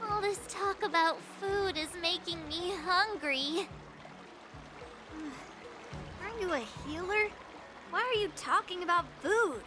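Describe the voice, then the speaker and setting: A woman's voice: very high-pitched, slow pace, pauses often, over music. A woman voicing a brash, blunt young swordswoman in a video game, with a scornful manner. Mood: impatient. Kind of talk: English video game voice acting.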